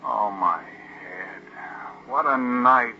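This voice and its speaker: Peevish young wastrel, muffled radio. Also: male voice, medium pitch, slow pace. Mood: groggy, irritable. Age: young adult. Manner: peevish, groaning, desperate. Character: dissolute, hungover young wastrel brother in debt. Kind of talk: 1940s radio acting. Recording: muffled, noisy vintage radio drama recording.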